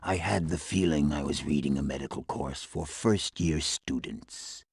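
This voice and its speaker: Suave evil guy in a game, super deep husky voice, slow, formal and condescending, coldly polite. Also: a little mellow, no echo, studio quality, full character voice. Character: menacing, suave male villain, coldly polite. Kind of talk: video game voice acting.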